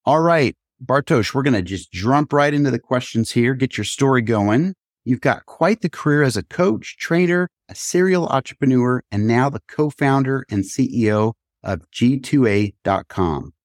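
A man's voice: medium pitch, lively intonation, normal speed, dry recording, clean studio sound. A man hosting a business podcast, reading guest introductions and asking questions in an upbeat, friendly manner. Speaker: podcast host. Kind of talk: interview hosting.

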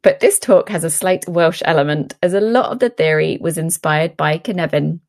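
Slightly low-voiced woman hosting a podcast. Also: normal speed. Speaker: podcast co-host. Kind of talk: scripted banter and podcast introduction.

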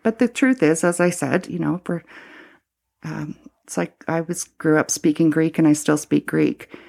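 A middle-aged woman from Canada speaks in a low, slightly gravelly voice. She talks reflectively and haltingly, circling back with fillers and restarts as she works through her thoughts. It sounds like a thoughtful interview conversation. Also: darkish tone. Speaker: former Anglican priest, guest.

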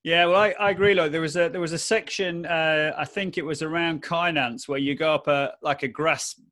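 Podcast host guy, friendly and chatty, trips over words, slightly high. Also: steady tone, a little fast, a bit bright. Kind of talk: casual podcast chat.

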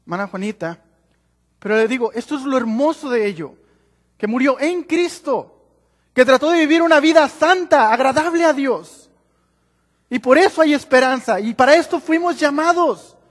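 A man with a Mexican accent, Spanish his first language, preaching a sermon in English and Spanish, halting, restarting and laughing at himself. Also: high-pitched, big-room sound. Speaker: pastor. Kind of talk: bilingual sermon with own interpreting. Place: large church sanctuary.

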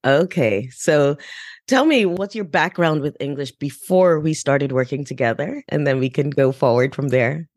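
A woman's voice: deep voice, moderate pace, darkish tone, no room sound, clean studio sound. A woman hosting a podcast, coaching and interviewing in a conversational style. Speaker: podcast host, business English coach.

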